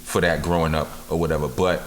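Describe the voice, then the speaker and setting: A man's voice: flat delivery, fast, big-room sound, a little hiss or hum. A man, a rapper telling stories in a casual studio interview. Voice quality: deep voice. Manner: laid-back, storytelling, repeats "you understand what I'm saying". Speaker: rapper, guest. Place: recording studio.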